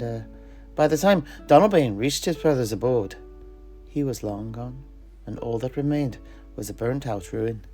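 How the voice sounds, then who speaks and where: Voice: mid-range voice, warm tone. A storyteller narrating fictional history in character, in a grave, literary and dramatic manner. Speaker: storyteller of a fantasy lore show.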